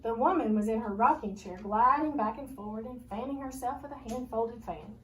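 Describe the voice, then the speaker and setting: A woman teacher reading a children's story aloud with expressive narration. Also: a little high, normal speed. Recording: noisy, distant microphone.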